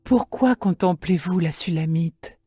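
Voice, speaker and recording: Woman playing young woman in love, tender n devoted, slow poetic bible drama reading, music under it. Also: fairly low pitch, animated, dark tone, husky, no room sound, studio quality. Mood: tender, longing.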